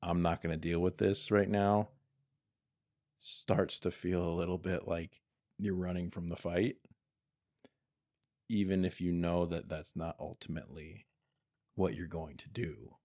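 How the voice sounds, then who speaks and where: A man in his forties, co-hosting a podcast, talking candidly and a little haltingly in casual chat. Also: deep voice, steady tone, a little mellow. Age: 40s. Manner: candid, hesitant with restarts. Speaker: podcast co-host.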